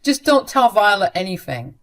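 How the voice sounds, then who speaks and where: A woman's voice: medium pitch, very animated, moderate pace. A woman voicing a cold and dismissive young man, a son who speaks in a curt, matter-of-fact way.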